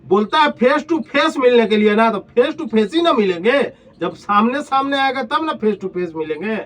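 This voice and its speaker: A man's voice: extremely high pitch, lively intonation, normal speed, a bit bright. A man with an eastern Indian Hindi accent delivering a taunting, confrontational rant full of insults and pointed rhetorical questions, recorded at a distance from the mic. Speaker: vlogger.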